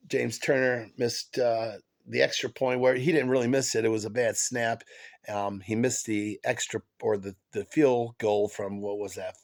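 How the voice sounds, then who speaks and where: A man with a head cold hosts a casual sports chat, his voice stuffy and nasal. He talks in a gravelly, creaky tone, stopping and restarting often. His delivery is halting and full of fillers. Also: mid-range voice. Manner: halting, many fillers and restarts, excited fan. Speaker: podcast host.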